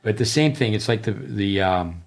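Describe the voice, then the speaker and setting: Deep-voiced middle-aged man coaching talk. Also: expressive, normal speed, vocal fry. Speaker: tennis coach, podcast co-host. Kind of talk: casual podcast chat.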